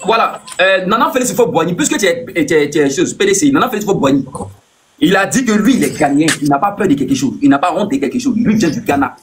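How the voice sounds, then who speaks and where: A man's voice: fairly high pitch, lively intonation, fast talker. A man hosting a heated live debate, repeating himself and cutting in to press his point. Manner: insistent, repeats words, cuts in. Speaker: live stream host.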